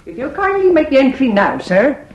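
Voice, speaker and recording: An actress playing a stern, suspicious country innkeeper, blunt and formal, in a farce. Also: female voice, sits high, very animated, normal speed, darkish tone, muffled recording. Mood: stern, dry. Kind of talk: theatrical farce acting.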